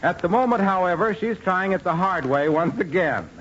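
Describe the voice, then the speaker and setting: Animated 1940s radio announcer man. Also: sits high, normal speed. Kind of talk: scripted 1940s radio narration. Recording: dull old radio recording, audience, faint noise.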